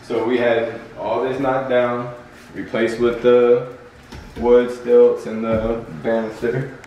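A young man giving a casual tour of his house, talking in a relaxed, filler-heavy way and laughing often. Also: mid-range voice, takes it slowly, recorded from a distance, lo-fi sound. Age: young adult. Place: large house with game room, indoors. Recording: roomy, off-mic with faint room noise.

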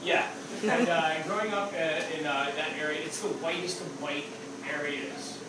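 High-voiced man doing crude stand-up. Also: normal speed, very noisy. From upstate New York. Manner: loose, rambling, crude, full of "like" and "okay?". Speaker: stand-up comedian. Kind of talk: open mic stand-up set. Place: small dark theater stage with a live audience. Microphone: handheld mic. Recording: echoey room with crowd laughter.

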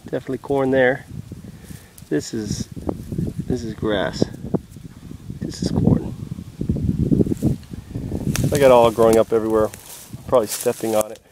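A man quietly narrating to himself while filming beehives outdoors on a handheld camera. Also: medium pitch, fairly even intonation, measured pace, pauses often, background noise. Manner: soft-spoken, musing aloud to himself. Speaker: beekeeper vlogger. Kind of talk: casual running commentary while filming. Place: outdoors at a bee farm. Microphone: handheld camera mic. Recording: outdoor camera audio with insects buzzing. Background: bees buzzing and rustling grass.

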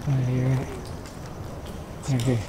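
Excitable young man exploring in echoey dark. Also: mid-range voice, a little slow. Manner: excitable, swearing, hushed at times. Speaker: vlogger. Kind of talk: casual exploring vlog. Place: dark abandoned brewery indoors. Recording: echoey rooms with loud noise.

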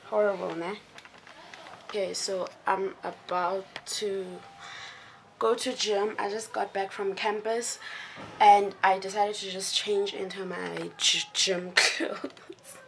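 A young woman talks to her camera held at arm's length, chatty and giggly and unhurried. Her voice is gravelly with fry. The recording carries clear background noise from the handheld camera. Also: medium pitch, level tone, fairly bright tone. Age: young adult. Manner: chatty, giggly, mixes in isiXhosa words. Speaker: vlogger, university student. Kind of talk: casual vlog chat to camera. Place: student bedroom and outdoors. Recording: noisy handheld camera audio.

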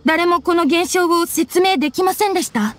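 A woman's voice: very high-pitched, normal speed. A woman voicing an earnest, polite young woman in a Japanese anime game, grieving and formal in speech. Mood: wistful, earnest. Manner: polite, soft-spoken formal phrasing. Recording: studio voice over game music.

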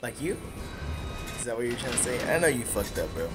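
A man's voice: medium pitch, very animated, a little fast, over loud music. A young American man giving casual, profane gameplay commentary in slangy reactions. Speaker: gaming streamer. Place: small room indoors. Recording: loud game audio behind voice.

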